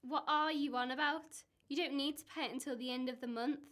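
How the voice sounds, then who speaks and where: Woman playing nagging wife, high-pitched, accusing, sarcastic, exasperated, student radio drama. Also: steady tone, a little fast, a bit bright. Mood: sceptical, accusing. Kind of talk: amateur student radio play acting.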